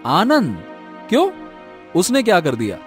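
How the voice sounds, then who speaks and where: A man's voice: high voice, wildly swooping pitch, a little slow, faint music behind, heavily acted. A man playing a busy, kindly factory worker in a cartoon, placating and friendly. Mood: mild reproach.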